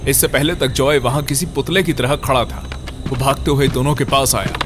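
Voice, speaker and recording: A man's voice: medium pitch, fairly even intonation, normal speed. A man narrating a scripted horror story as its storyteller.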